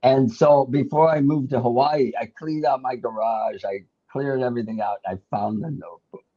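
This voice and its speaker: A man's voice: mid-range voice, moderate pace, mellow, highs cut off. A middle-aged American man hosting a casual chat, telling a self-deprecating story and laughing often. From United States. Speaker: host.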